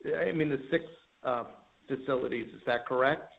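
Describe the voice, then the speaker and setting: A man calling in as an analyst, putting probing questions in a halting way on an earnings call. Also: mid-range voice, gravelly. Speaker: caller, investment analyst. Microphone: telephone. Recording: tinny narrow phone line.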